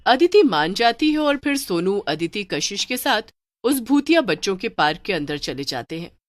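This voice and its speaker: A woman's voice: medium pitch, very animated, normal speed, no echo. A woman narrating a scripted cartoon horror story as a storyteller.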